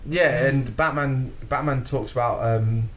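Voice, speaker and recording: British male podcast co-host, loose and sweary, mid-pitched, quiet noise floor. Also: a little slow. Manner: animated, sweary, laughs often, acts out quotes. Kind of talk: casual podcast chat.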